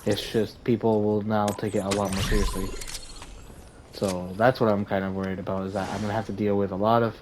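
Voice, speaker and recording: A young man with a tired, somewhat low voice talks casually over his gameplay. He rambles, restarting sentences and filling gaps with "like" and "uh". A faint hiss sits behind the voice. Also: little pitch movement, a little fast. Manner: rambling with many fillers and restarts. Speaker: gaming YouTuber.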